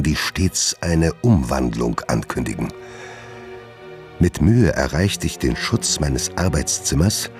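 An actor playing a haunted gentleman doctor, narrating his confession in a radio drama in measured, literary phrases. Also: extremely low pitch, heavily acted. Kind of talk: scripted first-person radio drama narration. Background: music.

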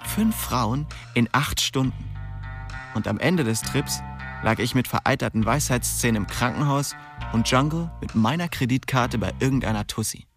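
A man's voice: mid-range voice, normal speed, fairly bright tone, close to an everyday voice. Actor as a brooding young crime-drama man over music. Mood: bitter, tired. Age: young adult. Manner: coarse, slangy, brooding. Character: hard-boiled young small-town drug dealer, weary and bitter. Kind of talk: radio drama, first-person narration and dialogue. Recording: music behind the voice.

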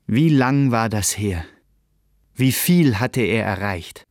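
A man with a fairly low voice narrates a literary radio play. He takes it slowly, in a dry studio sound. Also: animated, darkish tone, no room sound.

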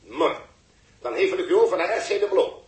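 An actor playing a persuasive, businesslike control-room official in a 1960s Dutch radio drama, polite and matter-of-fact. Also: male voice, high-pitched, expressive, a little fast, reverberant, poor audio. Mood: businesslike, insistent.

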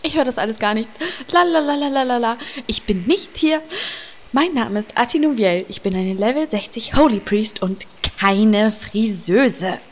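Young elf priestess, played by a woman, high-pitched, vain, pouting melodrama for an audio comedy. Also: normal speed, slight background noise, lightly acted, poor audio. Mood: defiant.

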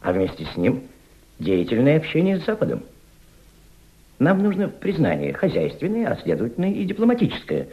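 An actor playing an impassioned senior Soviet diplomat in a radio drama, mentoring a young subordinate with emphatic, didactic rhetoric and deliberate repetition. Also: male voice, fairly high pitch, huge pitch swings, lo-fi sound. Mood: grave, persuasive. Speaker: actor in an audio play.

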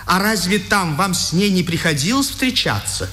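An actor playing a brisk, courteous newspaper editor in a 1950s radio drama. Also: male voice, sits high, big pitch swings, sharp tone, heavily acted. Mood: businesslike, kindly.

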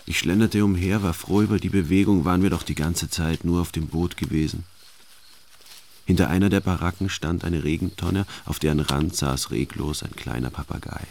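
An actor playing a detached male first-person narrator in a radio play, telling his story in a deadpan, matter-of-fact way. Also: low-pitched, moderate pace, vocal fry, a little hiss or hum. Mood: detached, musing.

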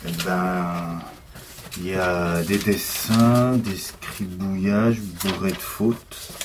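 An actor playing an excitable police investigator who eagerly explains his nerdy parody faith, in a naturalistic audio drama. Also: deep voice, drawn-out words, noisy background. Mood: amazed, absorbed. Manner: enthusiastic explainer. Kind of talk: naturalistic French audio fiction acting.

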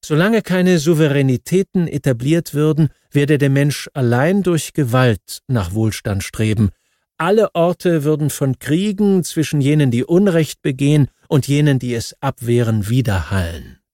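A man reads a history book as scripted audiobook narration, in a measured, factual manner. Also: fairly high pitch, expressive, mellow, no room sound, studio quality.

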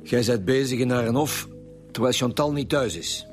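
A man with a Flemish accent playing a gruff, sardonic chief inspector in a radio crime drama, blunt, dry and needling. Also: mid-range voice, moderate pace, soft background music, natural voice. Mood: probing, sceptical. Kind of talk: naturalistic radio drama dialogue.

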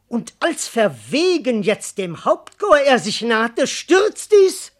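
Cheeky coward of a servant, swoops all over, wordy and puffed up, old-school verse radio play. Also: male voice, very high-pitched, very animated, takes it slowly, full character voice. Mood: boastful, grandiose. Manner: declamatory, wordy, comically self-important. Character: cowardly, cheeky, boastful male servant, talking to himself. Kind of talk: theatrical classical verse radio drama.

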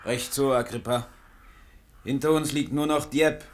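An actor playing a blunt, practical soldier in a radio drama. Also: male voice, medium pitch, fairly even intonation, measured pace, close to an everyday voice. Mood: grim.

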